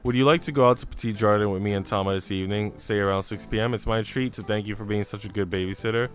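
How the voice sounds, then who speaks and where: A man's voice: fairly low pitch, fast, faint music behind, natural voice. A man voicing a polite, considerate young mother from a visual novel. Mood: apologetic. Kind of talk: visual novel character read aloud.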